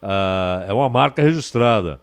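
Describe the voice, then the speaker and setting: A man's voice: on the low side, expressive, moderate pace, clean tone. A man with a Brazilian accent, speaking as a radio host conducting an interview.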